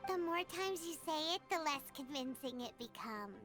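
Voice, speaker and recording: Cute game sidekick, squeaky high, animated, cheeky and naive, loud music. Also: female voice, normal speed. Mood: dry, teasing. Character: tiny childlike companion, cheeky and naive. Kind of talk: video game character dialogue.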